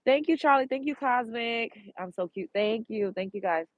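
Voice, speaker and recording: A woman's voice: a little high, moderate pace, no room sound, muffled recording. A congested woman from Brooklyn, chatting bluntly and profanely on a live stream, outdoors on a street, reading viewer comments. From Brooklyn, New York.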